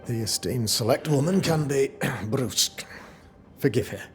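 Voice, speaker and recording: An actor playing a pious, self-important colonial governor with a formal, condescending manner. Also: male voice, medium pitch, lively intonation, measured pace, over music, lightly acted. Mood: officious. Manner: formal, condescending, smooth diplomacy.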